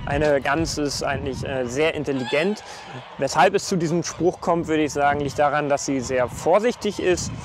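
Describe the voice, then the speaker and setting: A man's voice: fairly high pitch, moderate pace, slight background noise. A man in his thirties gives an informal explanation to camera outdoors by a farm field.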